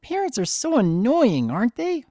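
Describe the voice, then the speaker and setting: A man's voice: very high-pitched, huge pitch swings, moderate pace, dark tone, no room sound, clean studio sound, voice pushed up, exaggerated voice. A man voicing a cheeky, grumbling little unicorn filly in a dramatic story reading. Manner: grumbling, whiny. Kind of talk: dramatic fan fiction reading.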